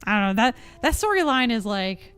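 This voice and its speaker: A woman's voice: on the high side, sing-song, a little fast, a bit bright, clean tone, faint music behind. A woman giving casual live-stream gameplay commentary, musing aloud in an informal way. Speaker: game streamer.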